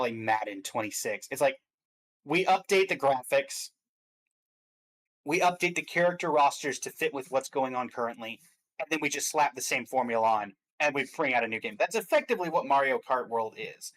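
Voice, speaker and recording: A man's voice: mid-range voice, lively intonation, normal speed, fairly bright tone, low-quality recording. A man chatting casually and giving his opinions as a guest commentator on games. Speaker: guest commentator on game awards stream.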